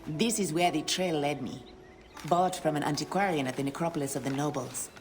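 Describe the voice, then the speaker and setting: A woman playing a guilt-laden shopkeeper, low-voiced. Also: lightly acted. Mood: guarded. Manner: measured, low confiding tone with whispered drops. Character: weary guilt-ridden woman shopkeeper, guarded. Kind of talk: video game cutscene.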